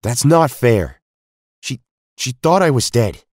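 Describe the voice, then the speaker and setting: Young male hero man performing, audio drama: earnest, stubborn, husky and dry-recorded. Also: mid-range voice, very animated, slow pace, a little mellow, no echo. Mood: angry, hurt. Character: Tommy, wounded but stubborn, loyal young male hero. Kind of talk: amateur audio drama acting.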